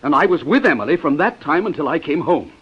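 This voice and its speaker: Husky, defensive rich banker. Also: male voice, fairly high pitch, sing-song, quick pace. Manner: stiff, defensive, theatrical. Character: defensive, middle-aged rich banker husband. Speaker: radio actor. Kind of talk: 1950s radio drama acting. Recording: muffled old-time radio broadcast recording.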